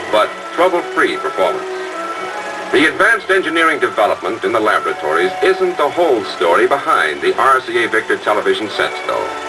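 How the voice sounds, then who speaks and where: A man narrating a 1950s promotional film in a formal announcer style. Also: medium pitch. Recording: distant, muffled old film sound with clear music.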